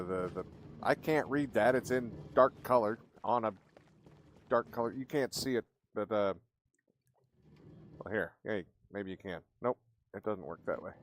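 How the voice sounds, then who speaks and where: A man's voice: on the low side, moderate pace, pauses often. A man livestreaming a game, chatting casually and in a rambling way with his viewers. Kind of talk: casual live game-stream chat. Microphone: streaming microphone with gain maxed out. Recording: clear background noise.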